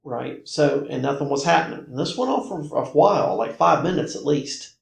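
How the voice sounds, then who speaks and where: An American man talks casually, as a guest telling a personal story. His voice sits a little high and is thick with gravelly fry. He moves in halting, emphatic bursts, repeating himself, with the room echoing around him. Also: lively intonation. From North Carolina, United States. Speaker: podcast guest recounting personal paranormal experiences. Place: indoor room, in-person conversation. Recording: roomy, echoey indoor recording.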